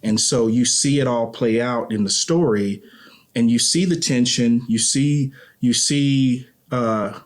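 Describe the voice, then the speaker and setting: A man's voice: mid-range voice, slow pace. A man speaking as an interview guest in a reflective, earnest conversation, often repeating and rephrasing as he goes.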